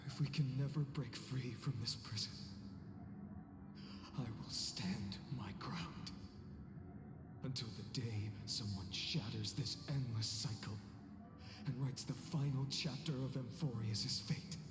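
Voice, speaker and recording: A man plays a steadfast game warrior, grave and solemn. His mid-pitched voice is breathy and very slow. It carries long reverb over clear music. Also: lightly acted. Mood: solemn resolve. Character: resolute, solemn male warrior enduring an endless cycle. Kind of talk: scripted game story voice acting. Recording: echoey long reverb, loud music behind.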